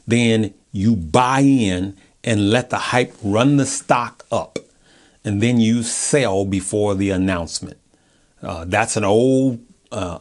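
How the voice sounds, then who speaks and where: An American man talking casually and informally to his viewers as a stock video host, in a chatty, colloquial way. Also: fairly low pitch. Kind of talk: casual chat, giving stock commentary from notes.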